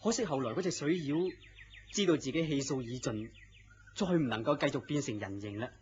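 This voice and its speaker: An actor playing a gentle, melancholy man in love, speaking earnestly and theatrically in Cantonese radio drama style. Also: medium pitch, lively intonation, a little fast, lightly acted. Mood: storytelling. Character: lovestruck, gentle, self-deprecating melancholy man. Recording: dull lo-fi audio with noise.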